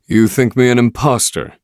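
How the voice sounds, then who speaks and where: A man voicing a grave, literal-minded scholar of magic in a video game, speaking in a formal, measured and stern way. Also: medium pitch, a little mellow. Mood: composed, insistent. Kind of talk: dramatic video game voice acting.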